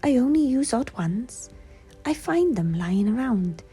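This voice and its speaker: Friendly small female owl acted by a woman, high, unhurried and breathy, bedtime storybook with music. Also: sing-song, mellow, exaggerated voice. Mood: explaining. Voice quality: breathy, light.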